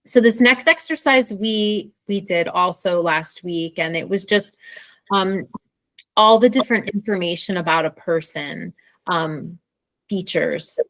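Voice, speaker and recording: A woman's voice: on the low side, unhurried, fairly bright tone, no echo, poor audio. An American woman co-hosting an informal English lesson in a conversational teaching style. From Pennsylvania, USA.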